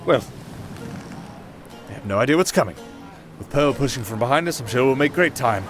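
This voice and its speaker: A man's voice: medium pitch, lively intonation, brisk, fairly bright tone, soft background music, natural voice. A man playing a roguish, wry musician turned makeshift captain in an ASMR fantasy roleplay, chatting to a companion. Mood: amused. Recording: clear outdoor sea ambience behind.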